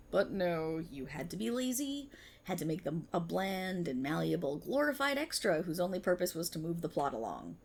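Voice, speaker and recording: A woman's voice: medium pitch, breathy edge. A woman playing an exasperated, self-aware policewoman in an audio roleplay, in a sarcastic, indignant and theatrical manner. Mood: bitter, scornful. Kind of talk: ASMR audio roleplay monologue, dramatic acting.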